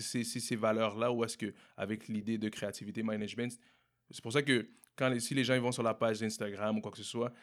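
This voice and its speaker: A young man from Montreal with a Québécois French accent, answering interview questions in a relaxed way, often repeating and restarting words. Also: on the low side. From Montreal, Quebec. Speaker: entrepreneur guest. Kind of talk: casual interview conversation.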